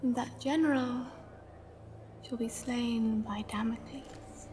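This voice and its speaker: An actress voicing a tattooed female seer who speaks slow, ominous prophecies in a game cutscene. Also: fairly high pitch, fairly even intonation, a little mellow, a little hiss or hum. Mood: cryptic. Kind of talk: video game cutscene acting.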